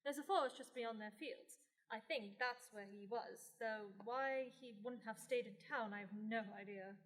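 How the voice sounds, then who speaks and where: A woman's voice: a little high, normal speed, a bit bright, natural voice. A young woman playing a long-lived sorceress in a radio play, blunt and dry with sardonic, theatrical asides. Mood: evasive. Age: young adult. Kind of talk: scripted radio play acting.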